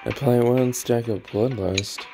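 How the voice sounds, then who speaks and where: A man's voice: mid-range voice, fairly even intonation, measured pace, creaky, music in the background. A man giving casual gameplay commentary, with offhand muttered reactions and bits read aloud. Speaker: gaming streamer.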